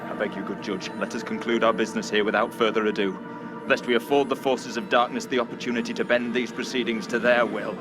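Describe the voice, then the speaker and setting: A man's voice: on the low side, steady tone, fast, loud music behind the voice, lightly acted. A man playing a zealous, accusing Puritan reverend in a video game, with formal, archaic, sermonizing oratory. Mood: solemn accusing. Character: zealous accusing 17th-century Puritan reverend at witch trial. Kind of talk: dramatic video game cutscene acting.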